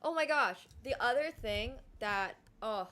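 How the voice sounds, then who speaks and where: A young adult woman whose first language is Mandarin, chatting casually on a live stream and thanking viewers, with frequent "like" fillers and quick restarts. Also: fairly high pitch, animated, a bit bright.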